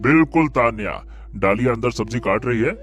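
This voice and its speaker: An actor playing an earnest, grateful village eatery owner in a Hindi cartoon story, with drawn-out interjections. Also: male voice, medium pitch, lively intonation, a bit bright, natural voice. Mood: eager. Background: background music.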